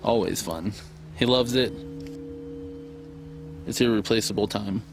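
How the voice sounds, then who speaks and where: Plain-spoken guy, lowish voice, faint music behind. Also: a little slow. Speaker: interviewee, former partner. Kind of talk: interview.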